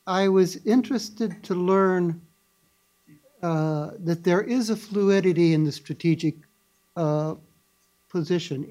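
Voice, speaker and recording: A man's voice: on the high side, warm tone. A man moderating a policy panel, summing up the talks in a hesitant way with frequent "uh".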